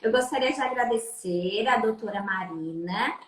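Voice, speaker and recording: A Brazilian woman lawyer hosting a live stream, chatting and warmly greeting viewers by name. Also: a little high, normal speed, very echoey.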